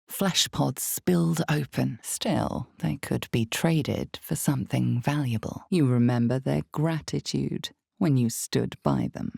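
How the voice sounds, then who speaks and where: A woman voicing an ominous, all-knowing narrator of a dark fantasy video game, speaking in slow, deliberate, dramatic storytelling with a creaky low voice. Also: no echo, clean studio sound, heavily acted. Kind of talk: scripted second-person dark fantasy narration.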